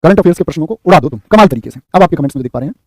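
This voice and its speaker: Male coach, high voice, very fast repeating quiz delivery. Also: expressive, a little mellow. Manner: rapid, repeats answers and asks "what will you say?". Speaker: exam coaching teacher. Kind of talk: live online class, quizzing students.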